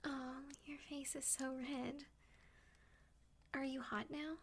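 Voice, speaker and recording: Female voice for intimate adult audio roleplay: high-pitched, breathy, frequently whispered, with very slow delivery. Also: flat delivery, slight background noise. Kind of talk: intimate erotic audio roleplay, addressing a partner.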